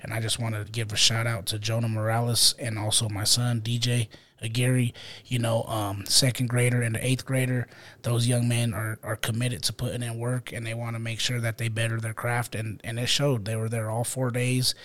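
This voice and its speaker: A man's voice: mid-range voice, little pitch movement, normal speed, fairly bright tone. A man co-hosting a casual podcast, a football coach talking about camp, upbeat and calling his co-host "brother". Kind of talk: casual chat.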